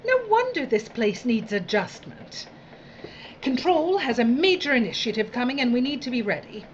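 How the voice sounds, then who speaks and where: Demanding female overseer, played by an actress: high, lively, imperious; slightly muffled recording. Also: a little hiss or hum. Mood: disdainful, demanding. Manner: imperious, brisk, disdainful. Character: haughty, demanding female boss from Control. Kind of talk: audio drama acting.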